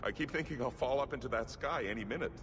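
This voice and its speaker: A man's voice: medium pitch, fast, noisy background, natural voice. An actor playing a nervous, timid male dwarf merchant and smuggler in a fantasy video game, flustered, polite and evasive. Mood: startled, flustered. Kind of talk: video game cutscene acting.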